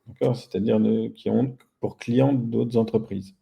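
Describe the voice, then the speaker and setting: Husky, gravelly man, informal and wry. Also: mid-range voice, fairly even intonation, a little slow, warm tone, lo-fi sound. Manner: informal, wry, self-deprecating. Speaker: guest, company founder. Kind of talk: casual explanatory interview talk.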